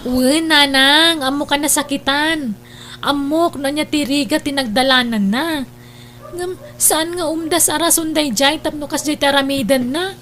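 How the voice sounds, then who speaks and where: High-pitched, nagging Ilocano elder sister, acted by a woman. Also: measured pace, fairly bright tone, slight background noise. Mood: exasperated. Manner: nagging, reproachful. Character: exasperated, scolding older sister. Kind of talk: Ilocano audio drama acting.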